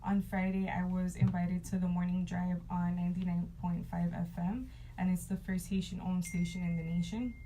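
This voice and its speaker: A woman hosts a talk show in a mellow, fairly low voice that stays level in pitch. She talks in an upbeat, conversational way over a faint background hiss. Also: level tone, moderate pace, hardly any pauses, low-quality recording. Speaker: host.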